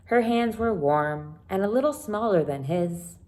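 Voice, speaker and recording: A woman's voice: mid-range voice, lively intonation, unhurried, darkish tone, soft background music. A woman teacher reading a children's book aloud and explaining it simply, with dramatic emphasis. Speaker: elementary teacher.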